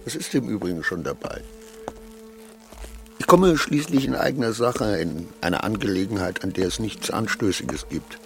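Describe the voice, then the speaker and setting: An actor playing a nervous, vain petty official who talks to himself in an agitated, self-justifying way in a radio play. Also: male voice, lowish voice, sing-song, moderate pace, gravelly, fairly bright tone, faint music behind, heavily acted. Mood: nervous self-reassurance. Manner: agitated, self-justifying, swinging between muttering and outbursts. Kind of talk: radio play acting, inner monologue.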